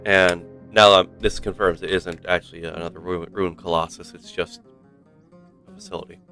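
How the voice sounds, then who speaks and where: A man's voice: low-pitched, steady tone, normal speed, bright, forceful tone, faint music behind. A man giving commentary on a game livestream and reading on-screen text aloud.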